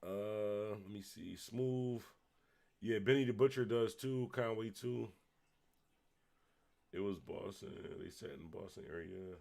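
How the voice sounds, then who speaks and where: A man from Buffalo with a Western New York accent, a little tipsy, rambling loosely as he hosts a late-night livestream and shouts out viewers. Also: lowish voice, unhurried, gravelly, pauses often. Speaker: livestream host. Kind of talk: casual livestream chat reading comments.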